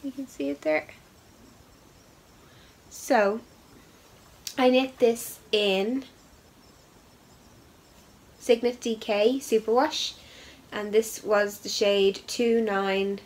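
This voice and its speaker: A woman's voice: a little high, very slow, long pauses. A young woman hosts a knitting podcast in a casual, chatty way, full of ums and upbeat asides, and she has a head cold. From Ireland. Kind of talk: casual show-and-tell chat.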